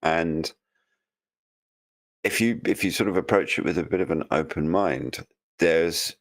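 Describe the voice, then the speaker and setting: Male co-host, very deep voice, musing and hesitant in casual chat. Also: a little slow. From United Kingdom. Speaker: podcast co-host.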